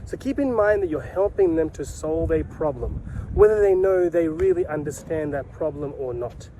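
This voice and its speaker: A man's voice: fairly high pitch, lively intonation, moderate pace, warm tone, slight background noise, poor audio. A man giving an instructional talk to camera as a sales coach, speaking emphatically with repeated key phrases and rhetorical questions. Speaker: sales coach and video host.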